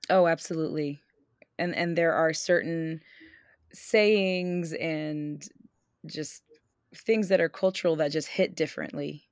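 Puerto rican woman on a podcast, really low gravelly voice, dry close sound. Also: steady tone, measured pace, no echo. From Puerto Rico. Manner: easygoing, agreeable, many fillers. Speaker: podcast co-host. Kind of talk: casual podcast conversation.

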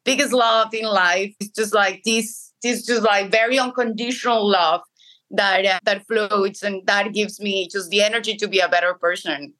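A woman's voice: medium pitch, sharp tone, poor audio. A woman with a Colombian accent, a Spanish speaker by birth, answering interview questions earnestly and often restarting her phrases. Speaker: interview guest. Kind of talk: conversational interview.

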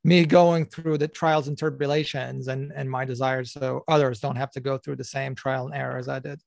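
A man's voice: medium pitch, level tone, normal speed, vocal fry, no echo. A man raised between the United States and Algeria, speaking as a coach guest in an interview, reflective and hesitant with many fillers. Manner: hesitant, full of ums and uhs, reflective. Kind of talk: conversational interview.

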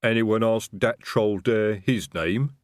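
A man voicing a big, blunt male troll bodyguard with rough grammar and th said as d or t, in a storybook reading. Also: lowish voice, slow, dry recording, clean studio sound. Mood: brittle, guarded.

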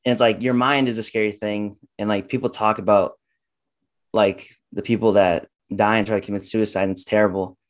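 A young man, a guest on a podcast, talking casually and haltingly with many fillers. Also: lowish voice, little pitch movement. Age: young adult. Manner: halting, lots of "like" and "uh".

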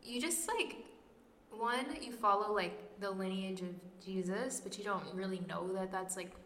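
A woman's voice: medium pitch, moderate pace, a bit bright. Late-teen woman co-host, rambling casual talker; echoey reverberant recording. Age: late teens. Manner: rambling, heavy on "like". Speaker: podcast co-host. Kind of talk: casual faith chat. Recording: echoey room with long reverb, faint hiss.

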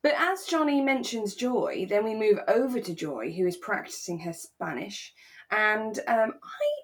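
A woman co-hosting a podcast, talking in a relaxed, conversational review style. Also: medium pitch, a little slow. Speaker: podcast co-host. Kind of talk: relaxed chat reviewing a radio drama.

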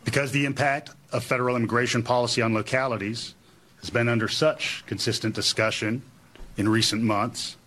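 An older man, a local government official, reading a formal prepared statement in a measured way. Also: mid-range voice, fairly bright tone.

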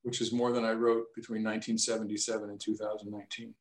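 A man's voice: lowish voice, little pitch movement. Midwestern playwright in his sixties, reflective interview answers, roomy echo. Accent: Midwestern American. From American Midwest. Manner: reflective, unhurried storytelling.

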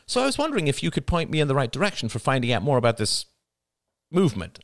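A man's voice: medium pitch, huge pitch swings, fast talker, no echo, studio quality, pitched above the performer's own voice. A man voicing an eager, persistent female journalist in an audiobook. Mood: eager, curious. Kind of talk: audiobook character voice.

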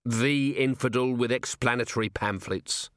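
A man's voice: high voice, animated, normal speed, fairly bright tone, dry recording, clean studio sound, pitched above the performer's own voice. A man voicing an earnest, eager police constable in an audiobook, deferential, formal and pedantic.

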